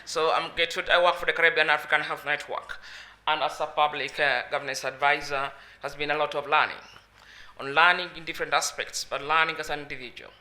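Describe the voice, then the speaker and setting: A woman speaking as a public governance advisor at a conference, with a loud and emphatic way of talking. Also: deep voice, fairly even intonation, a little fast. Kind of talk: conference talk. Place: conference hall.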